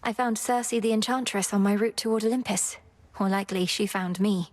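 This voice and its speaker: A woman's voice: mid-range voice, steady tone, fairly quick, lightly acted. A woman voicing an earnest young witch princess who speaks formally in a studio game. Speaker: game character.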